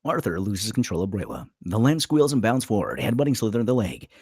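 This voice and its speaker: A man's voice: on the low side, lively intonation, brisk, darkish tone, breathy edge, dry recording, studio quality. A man reading screenplay stage directions aloud as a dramatic narrator at a table read.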